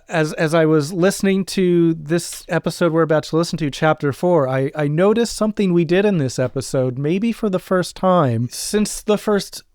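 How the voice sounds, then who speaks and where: Middle aged guy chatting on a podcast, crisp smooth voice, dry close sound. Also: a little high, a little mellow, no room sound. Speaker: co-host, audio drama producer and voice actor. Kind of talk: casual podcast chat.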